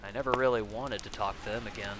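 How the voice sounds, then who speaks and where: A man as a flat, low-voiced young survivor. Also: quick pace, lightly acted. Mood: regretful. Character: regretful young former pizza delivery boy, a survivor. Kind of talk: comic dub acting. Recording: noisy ambient background.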